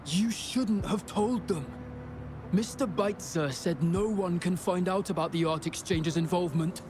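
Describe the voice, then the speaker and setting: A man's voice: high-pitched, a little slow, background noise. An actor voicing a blunt, resentful male gang underling in a video game, with a reproachful manner.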